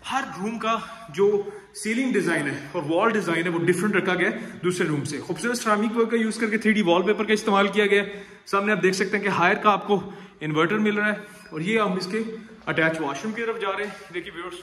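A man with a high voice and a Pakistani Urdu accent walks through an empty house, describing each room in an upbeat salesman's patter. His voice bounces off bare tiled walls, picked up by a handheld camera mic as he moves. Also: brisk, a bit bright. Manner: upbeat salesman patter mixing English phrases. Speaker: property tour host. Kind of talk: walkthrough house tour narration. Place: empty house, large tiled rooms indoors. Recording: echoey empty rooms.

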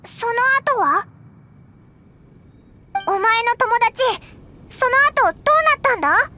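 A woman voicing a tiny, brash, excitable fairy girl in a Japanese anime game, squeaky and childlike, with blunt, exclamatory talk. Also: takes it slowly, exaggerated voice. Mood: impatient, indignant. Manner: blunt, rough-spoken, exclamatory.

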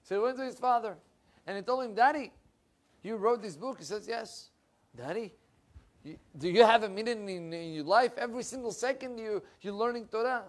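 Young male rabbi, animated lecturer with a higher voice, lapel mic. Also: normal speed. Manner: animated, with big swings in pitch and loudness and lively hand gestures. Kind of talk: animated Torah class. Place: wood-panelled room with bookshelves.

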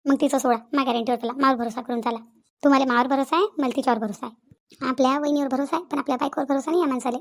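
A woman's voice: high voice, steady tone, racing. A woman playing a middle-aged, insistent village sister-in-law in a Marathi cartoon comedy, with a Vidarbha accent, coaxing and scolding repetitively. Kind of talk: cartoon family comedy dialogue.

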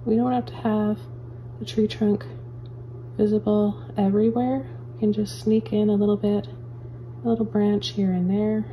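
A woman calmly talking viewers through painting step by step, as a painting teacher in her studio. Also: medium pitch, moderate pace, muffled recording, slight background noise. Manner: calm, gentle step-by-step instructions. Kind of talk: real-time painting tutorial. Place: indoor studio at an easel.

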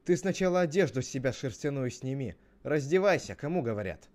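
A man voicing a naive young shepherd brother who protests insistently, in an animated parable. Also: mid-range voice, moderate pace, close to an everyday voice. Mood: indignant. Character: Abel, naive shepherd brother turned indignant.

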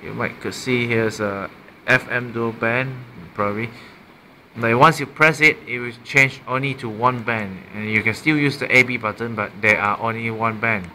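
A Malaysian man talks casually through a gadget demonstration in a medium-pitched, bright voice. He speaks haltingly, repeating words and correcting himself as he goes. The sound is amateur handheld camera audio from a small room, with slight background noise. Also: fairly even intonation. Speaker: amateur product reviewer. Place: small room indoors at a desk. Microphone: built-in mic of a handheld camera.

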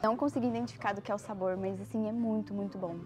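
A woman's voice: medium pitch, fairly even intonation, rapid-fire. Chatty young Brazilian woman vlogging. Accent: Brazilian Portuguese. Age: young adult. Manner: chatty, tasting aloud with murmurs. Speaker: travel vlogger. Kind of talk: casual food vlog to camera. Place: restaurant terrace, indoors. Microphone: lapel.

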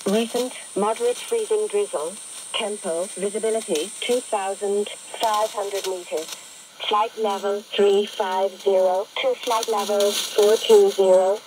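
A woman reading formulaic aviation weather reports in clipped, segmented, impersonal phrases over shortwave radio. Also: mid-range voice. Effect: shortwave single-sideband radio. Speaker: aviation weather broadcast announcer. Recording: noisy shortwave radio reception. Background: radio static and noise.

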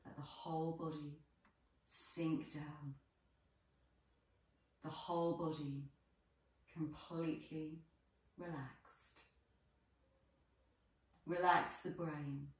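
Slow-speaking woman in an echoey room. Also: low voice, flat delivery. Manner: gentle, unhurried, measured phrases with pauses. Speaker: yoga teacher. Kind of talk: guided relaxation, soothing instruction. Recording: echoey room, distant microphone.

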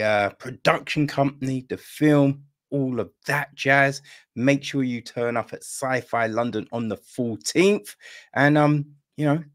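A man's voice: medium pitch, a bit bright. A middle-aged man hosting an informal podcast interview over a video call, chatting in a casual way. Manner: informal, calls guest "man". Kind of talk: casual chat. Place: room at home, indoors.

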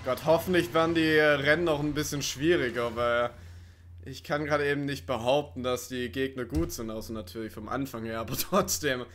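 A man's voice: mid-range voice, fairly even intonation, brisk, fairly bright tone, slight background noise. A man giving casual, rambling, sweary let's play commentary over racing game sounds. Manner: rambling, sweary, joking to himself. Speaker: gaming video commentator.

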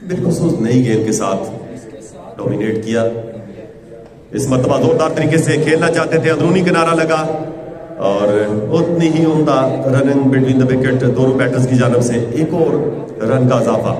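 A man calls a live cricket match as commentator. His medium-pitched voice rings with echo in a big hall and sounds set back from the microphone. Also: fairly even intonation, moderate pace, a crowd in the background. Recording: echoey hall, distant from the mic.

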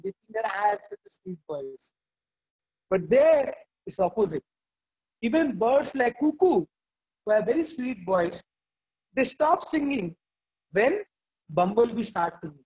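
A man, reading verses aloud and then explaining them with animated emphasis in a scripture class held over a video call. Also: high-pitched. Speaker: class speaker. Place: indoor room at home. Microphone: video call, laptop or phone camera. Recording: dull lo-fi video call audio.

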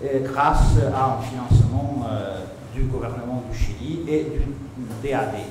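A man's voice: mid-range voice, a little slow. A man in his thirties whose first language is Italian, chairing a conference session and introducing a speaker with many hesitations, on a table mic in a seminar room. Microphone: table gooseneck mic. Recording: echoey room with noise.

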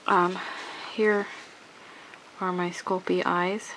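A woman's voice: on the low side, little pitch movement, extremely slow, background noise. A woman with a cold talks through a hands-on craft tutorial at home, sniffling and rambling with many restarts. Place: home craft table, indoors.

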